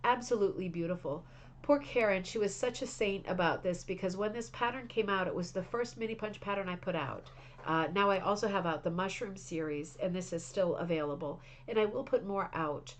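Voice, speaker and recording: A woman's voice: mid-range voice, fairly quick. A woman hosting a craft club livestream, chatting casually and reading viewer messages with enthusiasm, sounding slightly congested. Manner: chatty, enthusiastic, frequent restarts and fillers.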